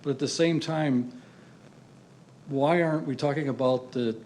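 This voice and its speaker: A man's voice: on the high side, steady tone, measured pace, a little mellow, slight background noise. An older retired man speaking as a resident at a public hearing, earnest and rambling as he questions the council. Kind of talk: public comment.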